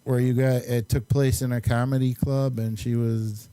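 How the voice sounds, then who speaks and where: Male host for casual film chat, soft mellow tone, very dry sound, halting and meandering delivery. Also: medium pitch, level tone, clean tone, no room sound. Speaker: host and amateur filmmaker. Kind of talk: casual chat and movie reviews.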